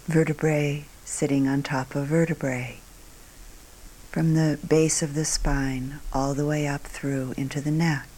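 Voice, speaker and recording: A woman meditation teacher guiding a meditation calmly and unhurriedly, in short phrases with long pauses, her voice soft and breathy at phrase ends. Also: sits low, a little hiss or hum, poor audio. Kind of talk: guided meditation.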